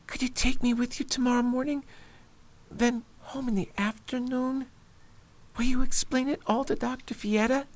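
A woman's voice: on the high side, steady tone, moderate pace, darkish tone, natural voice. A woman playing a sickly, frightened boy lord in a radio drama, pleading and anxious, sometimes whispering. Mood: hopeful. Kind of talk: amateur radio drama acting.

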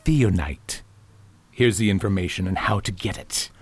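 A man's voice: deep voice, normal speed, slightly rough. An actor playing a pompous, power-hungry male senator in a radio drama, grandiose and blustering, as if always making a speech. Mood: commanding, scheming. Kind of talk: old-fashioned radio drama acting.

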